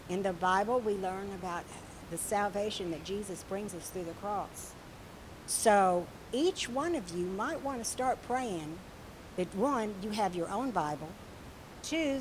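A woman teaching a children's church lesson with a raspy voice, telling a story expressively with questions to the children. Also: medium pitch, darkish tone, slight background noise, rough recording.

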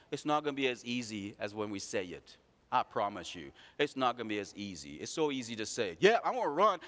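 A man's voice: mid-range voice, fairly bright tone, long reverb tail. A man preaching a sermon, calling out his congregation with rhetorical questions. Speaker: pastor.

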